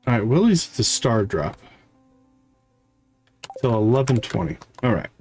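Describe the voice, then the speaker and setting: A man's voice: mid-range voice, sing-song, measured pace, a little mellow, husky, soft background music. A man live streaming a video game, giving casual commentary as he thinks aloud and chats to viewers.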